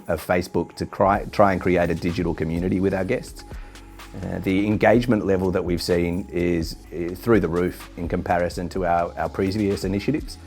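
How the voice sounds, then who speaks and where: A man giving a measured corporate testimonial in business language, like an executive being interviewed. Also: sits low, a little mellow. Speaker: hostel chain executive. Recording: background music under speech.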